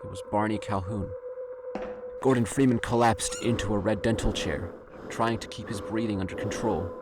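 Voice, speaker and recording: A man's voice: on the low side, fairly even intonation, normal speed, background noise. A man reading scripted narration for an audio drama. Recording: loud ambient sound effects bed.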